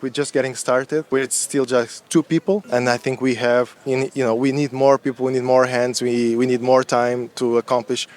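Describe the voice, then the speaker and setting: A relaxed, chatty man with an ordinary mid-range voice, telling how his fitness group began. He speaks casually, outdoors at a meetup. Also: mid-range voice, normal speed, few pauses. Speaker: community founder. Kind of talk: casual interview.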